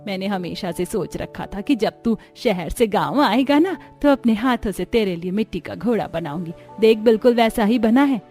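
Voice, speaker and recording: An affectionate older village mother from an animated family story, voiced by a woman. She speaks in a tender, motherly way full of nostalgia. Light music runs underneath. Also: mid-range voice, normal speed, hardly any pauses, lightly acted. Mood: tender, nostalgic.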